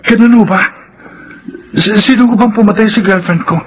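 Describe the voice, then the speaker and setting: A man's voice: high-pitched, normal speed, a bit bright, slightly rough. An actor playing an anguished, pleading young man wrongly accused, in Filipino radio drama style with a Filipino accent. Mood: subdued. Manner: pleading, earnest.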